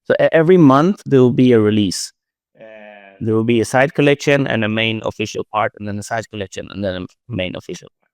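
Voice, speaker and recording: A man from Sweden, a creator answering questions in a casual live audio chat, halting and full of restarts. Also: on the low side, smooth, dry recording, clean studio sound. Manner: halting, many restarts and fillers. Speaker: art project creator, writer-director.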